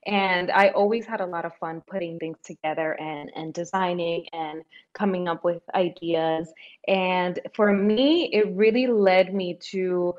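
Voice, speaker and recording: Woman guest, 30s, raised in New York, lively and quick, low-ish voice, lo-fi audio. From Dominican Republic, raised in New York. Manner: animated, laughs often, fast bursts. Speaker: guest, personal finance creator. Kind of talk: casual interview chat. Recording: dull lo-fi remote audio.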